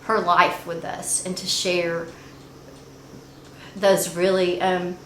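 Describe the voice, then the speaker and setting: A middle-aged woman telling family stories in a chatty, animated way as a regular on a family channel. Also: fairly low pitch, slow. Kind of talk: casual storytime chat. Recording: echoey room with faint noise.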